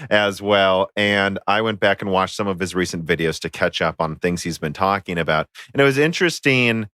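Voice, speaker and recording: A man's voice: lowish voice, fairly bright tone, clean tone, no room sound, clean studio sound. An American man hosting a casual tech podcast, chatty and rambling with frequent fillers. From United States. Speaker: podcast host.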